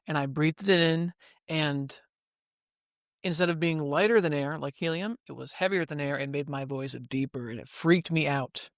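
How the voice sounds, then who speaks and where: A man with a somewhat high voice chats casually, telling stories in a loose, rambling way. He co-hosts a podcast. The sound is close and dry, with no room echo. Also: moderate pace. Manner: rambling anecdotes with fillers. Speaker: podcast co-host. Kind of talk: casual chat, storytelling.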